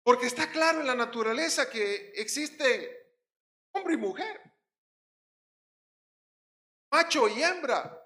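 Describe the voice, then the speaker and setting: A male pastor with a Puerto Rican accent preaching a sermon, swinging between loud stressed words and quiet drops. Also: extremely high pitch, expressive, measured pace, reverberant.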